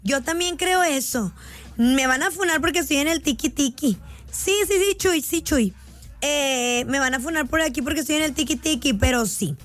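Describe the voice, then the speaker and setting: A woman's voice: high-pitched, moderate pace, a bit bright. A woman with a Mexican accent hosting a casual live chat in a playful, slangy, mock-indignant way. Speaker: live stream host.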